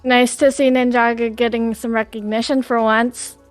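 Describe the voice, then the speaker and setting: A woman with a fairly high voice joins a podcast as a guest. She chats casually and informally, with soft music playing faintly behind her. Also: little pitch movement, moderate pace. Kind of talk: casual chat.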